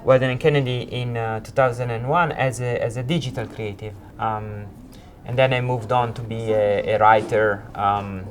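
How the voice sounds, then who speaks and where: A man in his forties from Italy with an Italian accent, a creative director recounting his career in an informal interview in hesitant, halting phrases. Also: medium pitch, fairly even intonation. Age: 40s. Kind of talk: informal interview, telling own career story.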